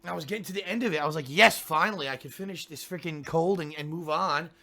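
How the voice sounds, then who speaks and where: A man with a cold, hoarse and congested, chatting casually to his viewers before a game stream, grumbling and ranting and clearing his throat often. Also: on the high side, fast, sharp tone.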